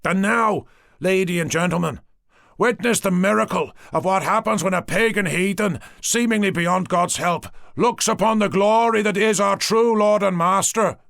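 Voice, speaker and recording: A man's voice: sits high, normal speed, gravelly, a bit bright, no echo, studio quality, pitched above the performer's own voice. A man voicing a manic, zealous priest who preaches fervently and slips into rapture. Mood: ecstatic. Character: manic, zealous middle-aged male priest.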